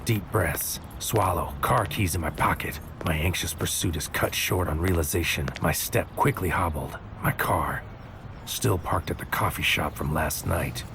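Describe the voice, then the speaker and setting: A man's voice: deep voice, a little slow, noisy background. An actor playing an anxious, brooding agent who tells his own story in a noir audio drama, in terse poetic fragments. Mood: brooding, tense. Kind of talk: first-person noir audio drama narration.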